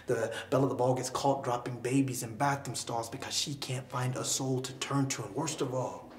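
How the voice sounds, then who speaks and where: Male poet for spoken word piece: deliberate, dramatic phrasing, mid-range voice, roomy echo, slight noise. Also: medium pitch, fairly even intonation. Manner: dramatic, deliberate delivery with pauses and emphatic swells. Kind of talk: spoken word poetry performance.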